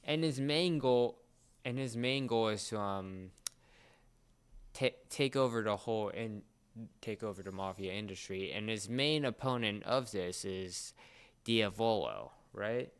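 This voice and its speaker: Clear-voiced man chatting and explaining, halting delivery. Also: on the low side. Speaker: podcast co-host explaining a series. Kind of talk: casual chat, explainer.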